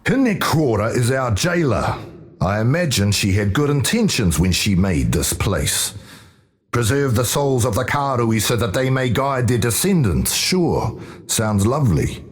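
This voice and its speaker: A man's voice: medium pitch, big pitch swings, unhurried. A man playing a bitter, restless dead tribesman in a fantasy game, sardonic and agitated.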